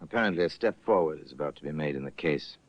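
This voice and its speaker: A man narrating a radio crime drama in a measured, grave manner. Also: a bass voice, lively intonation, faint music behind. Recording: muffled old radio broadcast.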